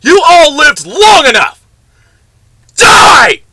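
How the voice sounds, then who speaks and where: A vengeful radio drama villain with a strained, gravelly voice. He rants theatrically and slowly, swinging widely in pitch. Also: big pitch swings, a crowd in the background, full character voice. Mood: menacing, climactic. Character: ranting vengeful male villain, foul-mouthed and theatrical. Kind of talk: amateur radio drama acting.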